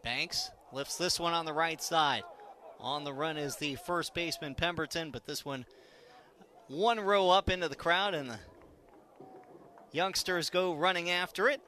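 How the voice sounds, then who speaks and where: A man's voice: a little high, measured pace, sharp tone, a little hiss or hum. A man calling a live baseball game on the radio as play-by-play announcer, in clipped, descriptive phrases. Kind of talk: live radio sports commentary.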